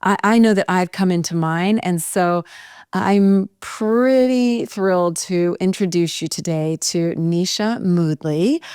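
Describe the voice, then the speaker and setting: A woman's voice: mid-range voice, darkish tone, clear, resonant tone, no room sound, clean studio sound. A woman in her fifties hosting a podcast interview, chatty and effusive in casual conversation. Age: 50s. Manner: effusive, chatty, says "oh my God". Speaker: podcast host.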